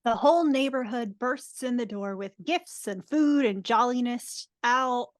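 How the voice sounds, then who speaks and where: A woman's voice: on the high side, measured pace. A woman narrating stage directions in a casual live script reading.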